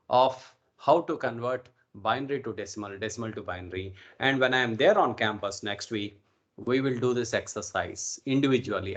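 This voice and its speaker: A man's voice: medium pitch, fairly bright tone, lo-fi sound. Indian-accented man teaching an online class. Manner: instructive, repeatedly checks with "okay" and "right". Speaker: instructor. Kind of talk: informal online networking lecture. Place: online video call recording.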